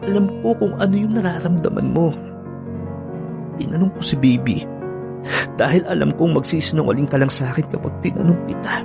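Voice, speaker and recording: A man's voice: a little high, a little fast, gravelly, pauses often. A man with a Filipino accent playing a hurt, pleading boyfriend in an online radio drama, coaxing and insistent. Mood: hurt, angry. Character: hurt, pleading boyfriend planning an anniversary getaway. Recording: music bed under the voice.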